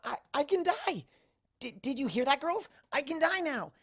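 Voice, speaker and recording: Lady doing a nerdy anxious pony girl in a story, earnest n stammery, pitch bounces around lots. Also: animated, normal speed. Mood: elated.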